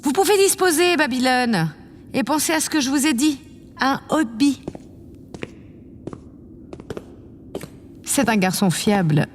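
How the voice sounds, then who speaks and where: A woman's voice: high-pitched, lively intonation, normal speed. A woman playing a vain, imperious yet affectionate president of a space colony in an audio drama, with a pompous, condescending manner. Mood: offhand, imperious. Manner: pompous, condescending, theatrically self-satisfied. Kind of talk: scripted audio drama acting. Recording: long reverb, clear background noise.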